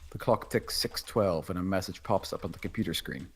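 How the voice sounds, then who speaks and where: A man with a husky voice reading screenplay stage directions as scripted narration. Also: low-pitched, fairly quick. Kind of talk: scripted narration at table read.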